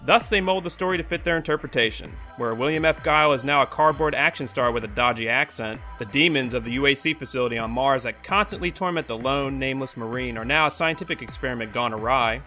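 A man's voice: medium pitch, fast, few pauses, bright, forceful tone, soft background music. A man in his twenties narrating a scripted video essay as host, wry, sarcastic and profane, recorded in a small room at home. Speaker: host of a video game movie review show.

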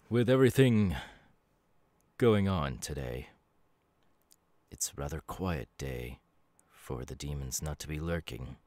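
Man acting as a sorrowful gentle demon, very deep bass, unhurried, reflective, pausing, intimate ASMR roleplay. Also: no echo. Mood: somber, resolute. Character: melancholy, gentle male demon who was once human. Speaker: voice actor.

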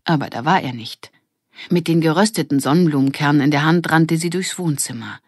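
A woman's voice: low-pitched, brisk, breathy edge, dry recording, clean studio sound. A woman narrating a scripted children's story as an audiobook reader.

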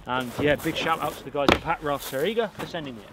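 Man, thirties, upbeat and chatty, slightly high voice, outdoor camera mic, strong wind noise. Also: quick pace. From United Kingdom. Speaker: outdoor vlogger. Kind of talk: casual show-and-tell to camera. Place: windy pebble beach outdoors. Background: wind and shore ambience.

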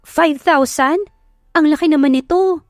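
A woman with a Filipino accent voicing a bubbly, energetic young mascot performer in an audio drama. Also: high voice, a little slow, no echo, studio quality, voice pushed up. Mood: amazed. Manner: energetic, chirpy. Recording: background music under the voice.

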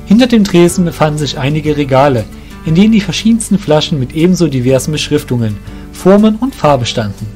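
A man's voice: fairly high pitch, big pitch swings, quick pace, darkish tone, faint music behind. A man reading scripted narration for a fantasy audio drama.

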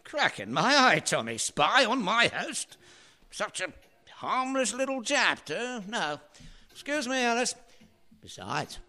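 Man acting a wistful failed painter, expressive. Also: high voice, takes it slowly, full character voice. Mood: playful, wry. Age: middle-aged. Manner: wistful, theatrical. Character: washed-up middle-aged painter, wry, regretful, self-mocking husband. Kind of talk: radio play acting.

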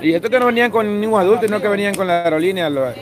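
A man's voice: high-pitched, rapid-fire. A man with a Salvadoran accent chatting casually as the host of a livestream. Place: outdoors at night in a busy street. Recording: busy outdoor crowd noise. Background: crowd chatter.

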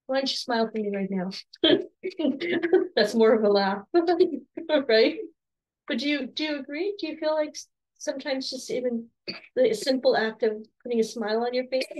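A slightly hoarse woman in her forties asks soft, encouraging questions in a fairly high voice. She talks over a webcam from a home room lined with bookshelves. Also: rough recording. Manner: soft, encouraging, with frequent restarts and light laughs. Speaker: podcast host interviewing her son. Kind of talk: gentle conversational interview.

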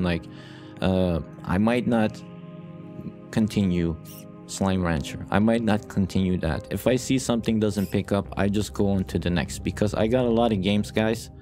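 Low-voiced man hosting a let's play over music. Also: warm tone. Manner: rambling, repeats phrases for emphasis; earnest, addresses viewers directly. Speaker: gaming channel host. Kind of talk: casual let's play commentary. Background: music with guitar.

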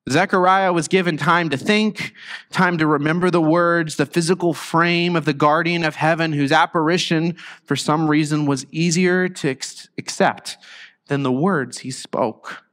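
A man preaching a sermon as pastor, reflective and halting, with frequent restarts. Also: on the high side, steady tone, fairly bright tone. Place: church sanctuary. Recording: long church reverb.

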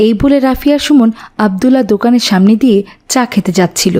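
A woman's voice: a little high, fast, dark tone. A woman narrating a scripted story as its storyteller.

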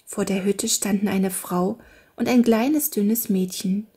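A woman reading a fairy tale aloud for children in a slow, gentle storytelling manner. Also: mid-range voice, fairly even intonation, breathy edge. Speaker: audiobook narrator.

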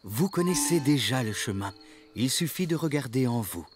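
An actor playing an eccentric, polite middle-aged ex-banker turned forest hermit in a cartoon, speaking formally and sententiously. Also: male voice, medium pitch, animated, normal speed, lightly acted. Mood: calm, earnest. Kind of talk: animated series dialogue. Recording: music behind the voice.

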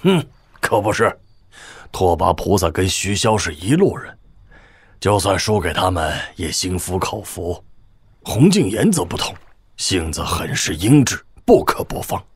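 An actor playing a shrewd old military governor, husky, measured and wry, in a wuxia audio drama. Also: male voice, sits low, very animated, no echo, full character voice. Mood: grave, wary. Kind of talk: Chinese wuxia radio drama acting.

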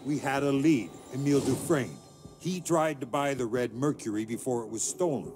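An actor playing a weary middle-aged covert agent with an American accent, terse and guarded, in a video game. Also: male voice, mid-range voice, normal speed, lightly acted. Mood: defiant. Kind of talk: cinematic video game acting. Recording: music behind the voice.

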